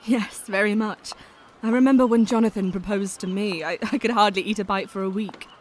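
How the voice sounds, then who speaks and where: An actress playing a gentle, modest young Englishwoman in an audio drama, speaking tenderly and earnestly. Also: mid-range voice, fairly even intonation, brisk, slight background noise, close to an everyday voice. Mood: fond. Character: gentle, worried young woman, modest friend.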